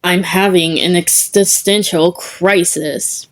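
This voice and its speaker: A woman's voice: on the low side, fairly even intonation, slow pace. A woman voicing an explosive, grumpy teenage boy in a fan story reading. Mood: intense.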